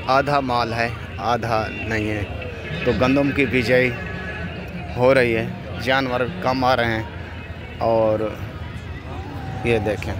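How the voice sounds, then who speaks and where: Slow-talking man touring a goat market. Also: medium pitch. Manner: slow, repetitive, ends phrases with a tag question. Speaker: goat farmer showing animals at a livestock market. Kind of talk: walking tour vlog commentary. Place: outdoor livestock market.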